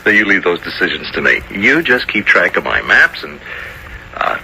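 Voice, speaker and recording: An actor in a 1970s radio drama playing a brisk, patronizing construction boss who gives orders dismissively. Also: male voice, low-pitched, very animated, creaky, pushed and bright, exaggerated voice. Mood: dismissive, impatient. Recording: faintly noisy old radio broadcast.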